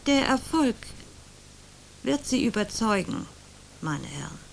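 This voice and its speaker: An actress playing a theatrical older woman, a former celebrated opera singer, who speaks grandly and drops into hushed whispers. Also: fairly high pitch, big pitch swings, slow, gravelly, very noisy, full character voice. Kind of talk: radio drama acting.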